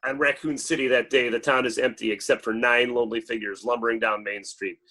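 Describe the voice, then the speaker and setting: Male narrator, mid-range pitch, dramatic scripted narration, screenplay stage directions, table read. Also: fairly even intonation, brisk, bright, forceful tone.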